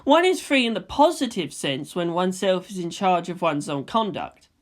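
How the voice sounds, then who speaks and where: A woman voicing a calm, philosophical boy with firm conviction, speaking in measured, oratorical phrases for a fan fiction reading. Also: lowish voice. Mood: lecturing. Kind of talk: fan fiction audiobook character voice.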